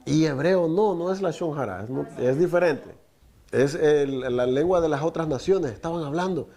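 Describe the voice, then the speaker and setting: A man's voice: on the high side, moderate pace, dark tone. A middle-aged man whose first language is Spanish, preaching a Torah lesson and asking the listeners rhetorical questions. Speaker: teacher of a Torah portion.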